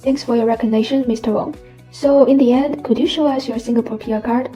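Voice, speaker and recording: A woman's voice: fairly high pitch, steady tone, fast talker, dark tone. A woman hosting an interview, reading a polished, upbeat script. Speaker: interview host. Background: faint background music.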